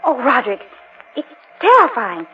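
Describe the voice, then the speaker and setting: Anxious high-voiced society wife. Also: female voice, wildly swooping pitch, moderate pace, pushed and bright, slightly rough, faint music behind, heavily acted. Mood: horrified, insistent. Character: anxious, insistent society wife, strong-willed. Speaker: actress in a radio horror play. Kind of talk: old-time radio drama acting. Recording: distant, muffled old radio recording.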